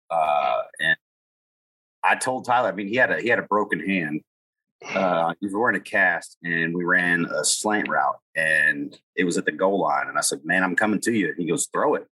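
Low, bright, husky-voiced man co-hosting. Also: normal speed, rough recording. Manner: rambling storyteller, chuckles mid-sentence. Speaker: podcast co-host. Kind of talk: casual chat.

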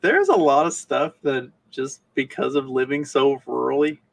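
A man co-hosting a podcast, chatting casually in a halting, hesitant way. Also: medium pitch, lively intonation, gravelly. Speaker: podcast co-host. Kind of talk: casual chat.

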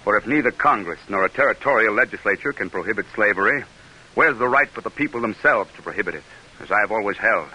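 Proud senator, piercingly bright and husky. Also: male voice, lowish voice, lively intonation, fast talker, heavily acted, rough recording. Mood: worried, calculating. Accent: American. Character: proud, shrewd middle-aged male senator running for re-election. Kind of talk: old-time American radio drama acting. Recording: vintage radio broadcast recording.

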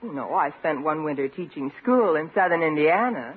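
Casting: contented, polite schoolteacher sweetheart, breathy and animated, on a narrow vintage radio recording. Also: female voice, deep voice, normal speed. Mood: placid. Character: demure, contented schoolteacher girlfriend. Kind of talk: classic radio comedy acting. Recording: tinny, band-limited old radio recording.

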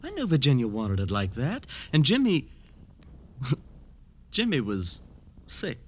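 Wildly swinging, unhinged lovesick killer. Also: male voice, mid-range voice, huge pitch swings, unhurried, breathy edge, heavily acted. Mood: feverish, confiding. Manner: pleading, insistent, self-justifying. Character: delusional, lovesick male killer, childlike and unstable. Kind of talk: 1950s radio crime drama acting. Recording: old radio recording with faint noise.

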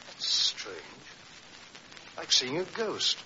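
A fairly high male voice plays a persistent Scotland Yard inspector in a vintage radio drama. He is polite and dryly witty, with very varied intonation, over muffled, hissy sound. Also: sing-song, drawn-out words, pushed and bright, full character voice. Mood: curious, wry. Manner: dry, probing, polite. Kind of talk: old-time radio drama acting.

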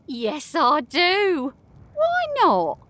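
Bold, scornful charwoman with thieving ways, very lively delivery, audio drama. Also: high-pitched, extremely slow, heavily acted. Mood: gleeful, brazen. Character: brazen, scornful, thieving working-class charwoman.

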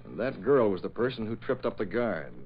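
Radio-serial hero, low measured voice, suspicious, decisive, reasoning aloud, muffled vintage recording. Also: male voice, very animated, slight background noise. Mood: suspicious, musing. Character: newspaper publisher and secret crimefighter, thoughtful, suspicious, decisive. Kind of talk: 1940s radio acting. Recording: muffled old radio broadcast.

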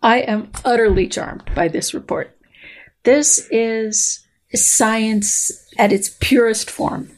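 A middle-aged woman, a guest scientist, reads a research paper aloud and explains it in conversation with a precise, playful, deliberate manner. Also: a little high, low-quality recording. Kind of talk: dramatic reading and explanatory conversation.

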